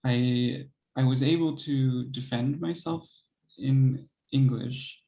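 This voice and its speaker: A young man and composer, speaking thoughtfully in an interview, hesitant with many pauses and soft laughs. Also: mid-range voice, fairly even intonation, vocal fry. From United States. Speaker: composer and pianist guest. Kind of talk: reflective interview conversation.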